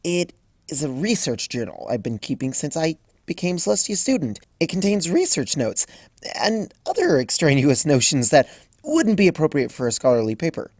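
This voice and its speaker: Man putting on a high-strung young pony mare. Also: very animated, darkish tone, no echo, studio quality. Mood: flustered. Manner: high-strung, rushes and fusses, flies into panic. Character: frazzled bookish young female pony scholar.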